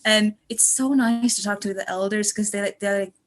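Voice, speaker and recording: A woman's voice: medium pitch, steady tone, normal speed. A woman in her twenties from Malaysia chatting casually on a podcast, effusive and rambling as she tells her stories. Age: 20s. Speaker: podcast guest and student contributor.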